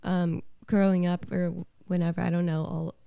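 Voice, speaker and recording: A woman's voice: on the low side, flat delivery, normal speed, vocal fry, mellow, smooth, dry recording, dull audio. A young adult woman chatting casually and wryly as a musician guest on a podcast. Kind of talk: casual chat.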